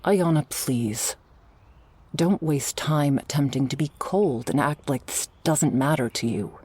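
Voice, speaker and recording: A woman acting an earnest, world-weary orca girl in an audio roleplay, with a gentle, measured delivery. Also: sits low, husky, dry recording. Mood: earnest pleading.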